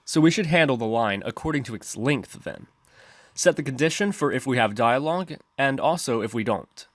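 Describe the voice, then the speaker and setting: A man's voice: mid-range voice, expressive, normal speed, no echo. A man narrating an instructional screencast as a tutorial host, explaining methodically step by step. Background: background music.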